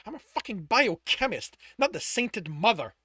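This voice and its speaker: A man's voice: high-pitched, sing-song, normal speed, sharp tone, slightly rough, no room sound, clean studio sound, voice pushed up, heavily acted. A man putting on a blunt, exasperated woman scientist. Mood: brusque.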